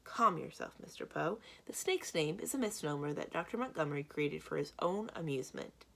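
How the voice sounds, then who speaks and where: A woman voicing a vain male villain in a storybook read-aloud, smooth, boastful and condescending. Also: deep voice, expressive, fairly quick, full character voice. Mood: smooth, dismissive. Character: smug, vain male villain posing as snake expert.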